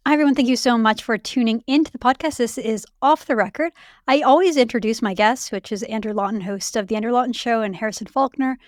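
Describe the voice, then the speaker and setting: A woman in her thirties hosting a casual podcast chat, her voice raspy and hoarse from late pregnancy, talking in a chatty way with many fillers. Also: a little high, fast, no room sound, clean studio sound. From Canada. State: heavily pregnant, voice going hoarse. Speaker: podcast host.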